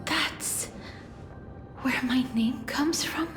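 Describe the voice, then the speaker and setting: A woman playing a gentle, solemn young maiden in a fantasy game, speaking softly with formal courtesy. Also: high voice, extremely slow. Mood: tender. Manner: soft, formal, polite. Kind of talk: dramatic fantasy game acting. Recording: echoey reverberant hall, music behind.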